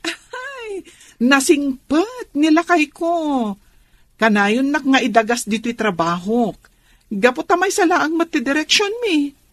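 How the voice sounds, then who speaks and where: Chirpy female friend for radio drama: high register, slow delivery, teasing, contented. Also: lively intonation, no room sound.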